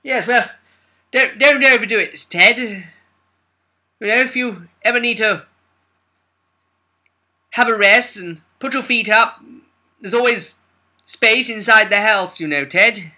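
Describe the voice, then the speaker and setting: High, flustered upper-class gent. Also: male voice, sharp tone, heavily acted. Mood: awkward, coaxing. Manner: halting, repetitive, hesitant. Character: stammering, awkwardly fond upper-class male landowner, coaxing.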